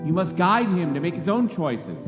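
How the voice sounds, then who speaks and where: A man's voice: high voice, very animated, normal speed. A man playing a senior angel in a radio play, a firm mentor explaining things with authority. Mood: firm, patient. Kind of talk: live radio play acting. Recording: reverberant hall, music behind.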